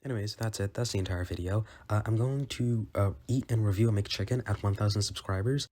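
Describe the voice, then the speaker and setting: Man, lowish voice, scripted video essay narration, opinionated, informal phrasing. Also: fairly quick, a little mellow.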